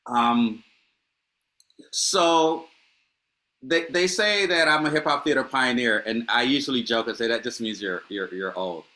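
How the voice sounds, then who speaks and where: A man's voice: mid-range voice, unhurried, bright, forceful tone, low-quality recording. A middle-aged man from Honduras, a playwright speaking reflectively in a panel conversation, with many restarts and fillers.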